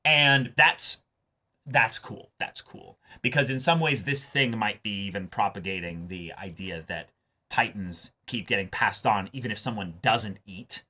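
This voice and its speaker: A man's voice: fairly low pitch, a little slow, sharp tone. A man hosting an anime discussion show, talking casually and analytically, stressing and repeating his points insistently.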